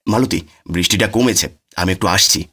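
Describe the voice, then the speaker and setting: A man's voice: on the low side, a little fast, bright, forceful tone, breathy edge. A man voicing a poor, mild village father in a cartoon, folksy in manner. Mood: mild, apologetic. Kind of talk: cartoon voice acting.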